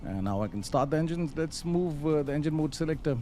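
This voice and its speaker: A man giving casual live commentary on a flight simulator, explaining step by step with hesitant pauses. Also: fairly high pitch, expressive, dark tone, slight background noise.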